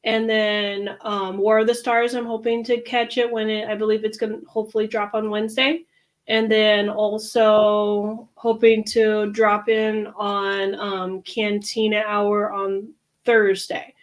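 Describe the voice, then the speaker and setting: A woman co-hosting a podcast, talking casually with many pauses and fillers. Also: medium pitch, flat delivery. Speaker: podcast co-host. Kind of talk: casual chat.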